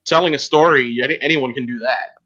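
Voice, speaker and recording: A man hosting a podcast, interviewing guests casually in a halting delivery with frequent pauses. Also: medium pitch, sharp tone, muffled recording. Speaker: podcast host.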